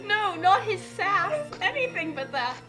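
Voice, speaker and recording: A woman's voice: very high-pitched, sing-song, unhurried. A woman trading comedic banter as a co-host in a playful, mock-dramatic way. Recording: music playing behind. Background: music.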